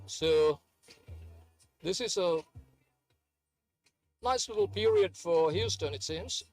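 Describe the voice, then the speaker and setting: A man's voice: fairly high pitch, slow, soft background music. A man who grew up in Sri Lanka, giving hesitant, chatty play-by-play of a live cricket match with many fillers. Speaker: cricket commentator.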